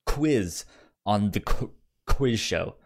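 A woman with an extremely deep voice chats casually as a co-host. She speaks in short, wisecracking interjections, with lively movement in her intonation. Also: slow. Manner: wisecracking, jokey. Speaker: podcast co-host. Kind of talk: casual chat, brief interjections.

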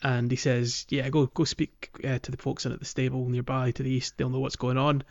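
Male podcast host, casual and rambling game chat, mid-pitched voice, slightly muffled lo-fi recording. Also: flat delivery, a little fast, vocal fry. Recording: dull, lo-fi audio.

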